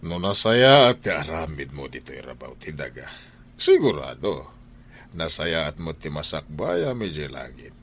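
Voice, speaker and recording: A man's voice: rumbling low, very animated, a little slow, fairly bright tone, full character voice. An actor playing a stern husband who lectures his wife and household. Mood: lecturing. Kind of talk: radio drama acting.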